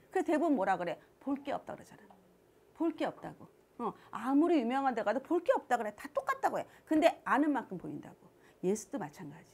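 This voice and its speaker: A woman education coach with a Seoul accent, explaining to parents in a chatty way and asking rhetorical questions. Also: on the high side. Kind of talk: answering a parent's question in a talk.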